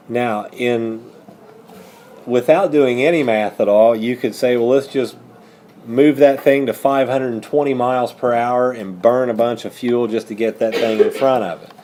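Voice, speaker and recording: Male teacher, unhurried and mellow, walking students through problems with self-answered questions, some background noise. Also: medium pitch. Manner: asks questions and answers them himself. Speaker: high school math teacher. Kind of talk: step-by-step math lesson with questions and answers.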